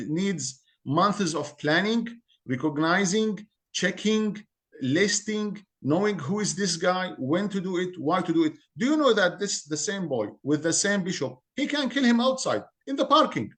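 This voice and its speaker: A man from Syria with an Arabic accent, a community guest speaking earnestly in halting English and repeating words as he searches for them. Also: high-pitched, lively intonation, fairly bright tone. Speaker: guest, Assyrian community member. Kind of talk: interview on a call-in podcast.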